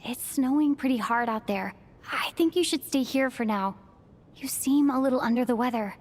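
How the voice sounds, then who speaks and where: Caring game girl, high voice, straightforward, reverby with faint music behind. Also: normal speed, darkish tone. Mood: concerned. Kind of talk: video game voice acting.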